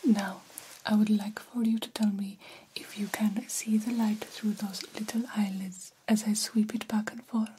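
A woman playing an attentive vampire doctor in an ASMR roleplay, whispering softly and soothingly with a breathy voice. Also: medium pitch, level tone, slight background noise, natural voice, low-quality recording. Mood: hushed, attentive. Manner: hushed, unhurried, soothing bedside talk. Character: female vampire doctor, attentive, calmly examining a patient.

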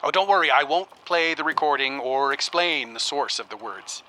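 Radio play academic: very forceful bright tone, higher pitch, earnest, precise, faintly noisy. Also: male voice, normal speed. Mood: concerned, earnest. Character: earnest, worried male scholar dictating a message. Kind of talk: radio drama recorded letter. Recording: faintly noisy recorded cylinder message.

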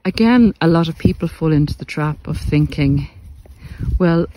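A man with a fairly high voice delivers a reflective monologue as a coach addressing listeners. He speaks earnestly and deliberately, breaking thoughts into short phrases. He is recorded outdoors, with clear background noise of the countryside around him. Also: dark tone, low-quality recording. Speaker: podcast host and narcissistic abuse coach. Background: country sounds.

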